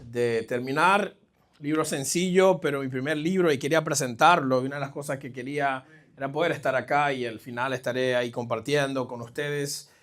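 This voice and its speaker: A middle-aged man with a Caribbean Spanish accent giving a conversational, halting sermon as a guest pastor, addressing the congregation directly. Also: medium pitch, steady tone, fairly bright tone. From New Jersey, of Caribbean family. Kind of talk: live sermon, personal testimony. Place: church, speaking to a congregation.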